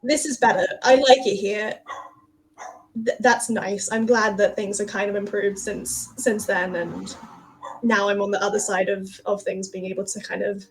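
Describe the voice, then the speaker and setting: A woman guest speaks in a casual livestream chat in a medium-pitched voice. She sounds upbeat. Her talk is reflective. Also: steady tone, normal speed, a little hiss or hum, poor audio.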